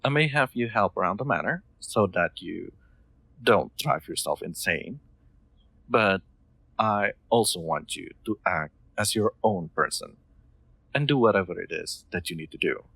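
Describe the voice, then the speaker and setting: A man playing a kind, soft-spoken master in an intimate comfort roleplay, gently reassuring with formal, slightly hesitant phrasing. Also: low voice, expressive, measured pace, creaky, no echo, full character voice. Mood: tender, persuasive.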